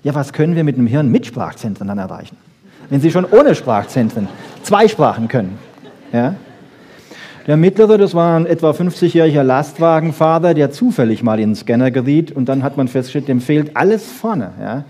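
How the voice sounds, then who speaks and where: A man lecturing freely on popular science to an audience, emphatic, with rhetorical questions and frequent checking tags, in a slightly husky voice. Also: fairly high pitch, big pitch swings, fairly quick, warm tone.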